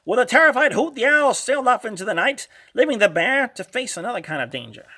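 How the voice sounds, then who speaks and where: High, bright-voiced man reading a storybook. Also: fast. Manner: dramatic, expressive. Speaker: storybook narrator. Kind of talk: animated children's read-along storytelling.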